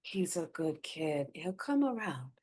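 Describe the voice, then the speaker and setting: A woman's voice: low-pitched, sing-song, unhurried, darkish tone, heavily acted. A woman playing a guarded, burdened wife and determined community organizer in a screenplay reading. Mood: reassuring. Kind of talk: live staged screenplay reading.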